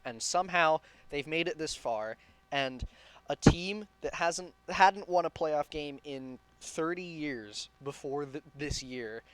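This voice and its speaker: A man with a medium-pitched voice talks sports on a podcast. His delivery is informal and a little halting, in the manner of a casual chat. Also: smooth, low-quality recording.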